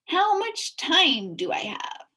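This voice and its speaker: A woman voicing an excitable, fussy male spelling bee who gets flustered and indignant easily. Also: extremely high pitch, slow pace, pitched above the performer's own voice, heavily acted. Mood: fretful proud.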